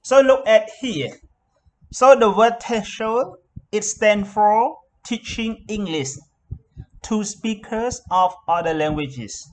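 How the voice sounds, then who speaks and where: A man's voice: sits high, slow, poor audio. Khmer-accented man teaching an online class. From Cambodia. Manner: explains with many "okay" and "so" fillers. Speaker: lecturer. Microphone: video call.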